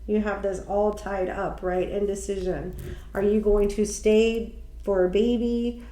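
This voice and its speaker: A woman giving a casual tarot card reading, speaking slowly, a little nasal and stuffy from allergies, musing aloud with ums and restarts. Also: medium pitch, level tone, mellow, roomy sound, a little hiss or hum, rough recording. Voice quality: slightly nasal. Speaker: tarot reader.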